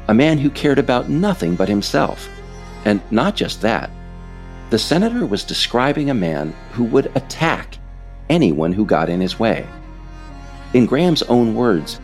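An American man reading scripted political narration in a measured, emphatic, explanatory way. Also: deep voice, creaky, a little mellow. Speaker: author narrating own political audiobook.

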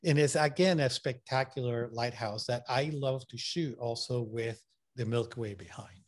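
A man's voice: mid-range voice, moderate pace. A man with an accent that mixes Puerto Rican and Massachusetts sounds, talking as an interview guest. He is enthusiastic and loose, piling on fillers and restarting his sentences as he goes. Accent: mixed Puerto Rican and Massachusetts. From Puerto Rico. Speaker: photographer guest. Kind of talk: casual interview talk.

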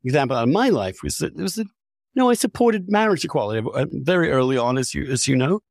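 A man's voice: mid-range voice, big pitch swings, fast, vocal fry, no echo, studio quality. A man talking candidly and with strong opinions, articulate and emphatic, often restarting his phrases. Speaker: podcast host.

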